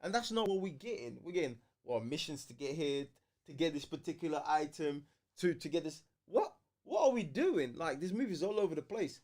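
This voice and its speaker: A man's voice: on the high side, expressive, a little fast, a little mellow. A man with a London British accent chatting casually as co-host of a fan livestream, slangy, opinionated and emphatic. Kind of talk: casual fan debate chat.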